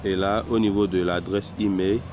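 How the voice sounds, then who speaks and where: A man with a cold walking through a software tutorial step by step, hesitant and unhurried as he clicks through each setting. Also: low voice, steady tone, noisy background. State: has a cold. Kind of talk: screen-recorded software tutorial.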